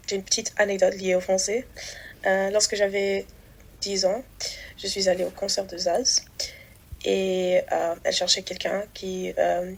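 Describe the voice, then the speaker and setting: A woman's voice: medium pitch, a little mellow, breathy edge. A young woman giving a personal testimony, hesitant and full of fillers. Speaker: testimonial speaker.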